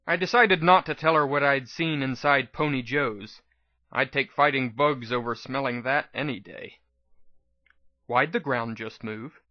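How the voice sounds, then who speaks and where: A man's voice: medium pitch, measured pace, no room sound, highs cut off. A man giving a dramatic first-person scripted narration as the reader of a fan fiction audiobook.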